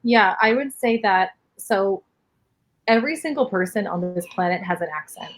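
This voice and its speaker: A woman from New York City with a New York area accent, a speech therapist answering interview questions in a steady, explanatory way full of "like.". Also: mid-range voice, normal speed. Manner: explanatory, steady, many fillers of "like". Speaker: speech-language pathologist guest.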